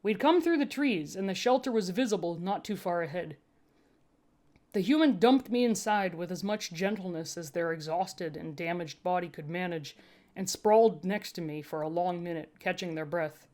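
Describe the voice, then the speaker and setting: Woman narrating fiction, slightly low voice. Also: moderate pace, darkish tone. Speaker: fan fiction audiobook reader. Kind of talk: first-person scripted story narration.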